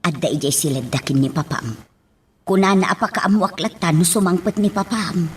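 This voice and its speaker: An actress playing a worried, devoted household nanny in a radio drama. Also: female voice, fairly low pitch, a little fast, distant mic, a little hiss or hum, lightly acted.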